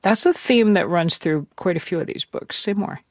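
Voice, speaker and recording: An interviewer asking measured, curious questions. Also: mid-range voice, no echo, studio quality. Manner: measured, reads quotes aloud, curious questions. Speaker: interviewer host. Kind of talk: radio interview.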